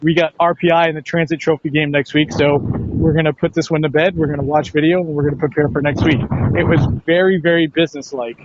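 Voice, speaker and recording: A man who works as a play-by-play announcer answers questions in a conversational sports interview, with halting phrasing and repeated words. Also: fairly high pitch, little pitch movement, background noise. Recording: dull, lo-fi, band-limited sound.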